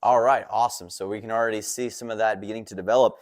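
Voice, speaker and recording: Quick-talking upbeat male sports host. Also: on the low side, steady tone. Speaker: interview host and radio play-by-play man. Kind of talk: sports interview hosting.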